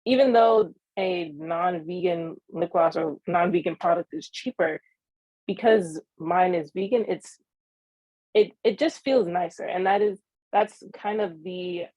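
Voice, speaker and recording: A young woman of eighteen speaks earnestly and a little haltingly, often pausing on ums and restarting her sentences. Her voice sits on the low side for a woman. The recording is dry and somewhat dull. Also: a little mellow, no room sound. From New York (Queens). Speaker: young entrepreneur guest. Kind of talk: interview answers.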